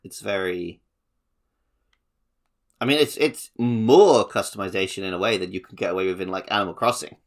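Lower-voiced man chatting casually. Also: moderate pace, long pauses. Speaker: podcast co-host. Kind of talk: casual gaming podcast chat.

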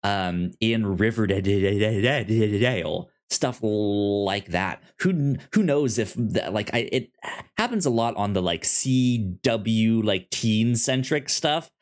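A man co-hosting a podcast in casual chat, halting and often repeating words as he works out his thoughts. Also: on the low side, lively intonation. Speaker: podcast co-host discussing a TV series.

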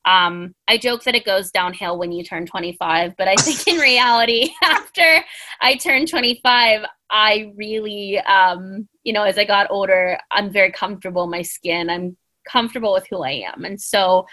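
A young woman in her late twenties co-hosts a relaxed talk and offers heartfelt advice. Her voice is bright and clear, and she often pauses on ums as she rambles. The talk stays earnest and casual throughout. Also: mid-range voice. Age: 20s. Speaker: podcast co-host.

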